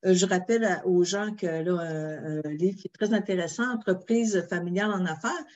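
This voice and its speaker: A woman's voice: lowish voice, moderate pace, lo-fi sound. A woman host with a Québécois accent, interviewing a guest in a chatty way with frequent fillers. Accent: Québécois French. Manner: warm-hearted, chatty, many fillers. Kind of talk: interview chat.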